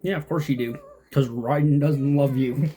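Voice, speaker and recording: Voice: medium pitch, fairly even intonation, moderate pace, dark tone. A game streamer with an androgynous voice giving casual, sarcastic, grumbling commentary while playing.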